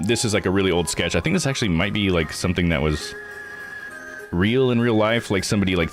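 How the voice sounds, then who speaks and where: A man hosting a live game playthrough, chatting informally and rambling through his commentary. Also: low voice. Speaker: live streamer and host.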